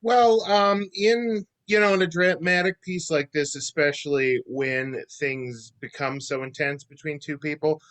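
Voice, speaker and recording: A man's voice: on the high side, unhurried, few pauses, a bit bright. A man, an actor, answering questions conversationally as a guest on a video call.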